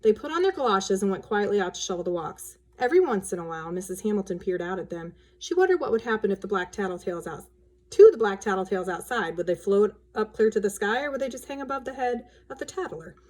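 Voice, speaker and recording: A woman reading a children's storybook aloud as a storyteller, expressive and acting out the characters' lines. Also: medium pitch, quick pace. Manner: acts out dialogue with changes of voice.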